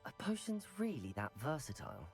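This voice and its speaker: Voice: medium pitch, sing-song, brisk, a little mellow, soft background music. An actor voicing a polite, adventurous teenage student wizard in a video game, composed. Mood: polite, upbeat. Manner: courteous, composed.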